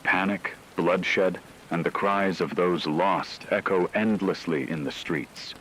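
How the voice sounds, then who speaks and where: Very deep-voiced man, solemn radio narrator. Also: takes it slowly, a bit bright, slight background noise, low-quality recording. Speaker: radio newscaster in a horror story. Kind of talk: scripted emergency radio broadcast narration.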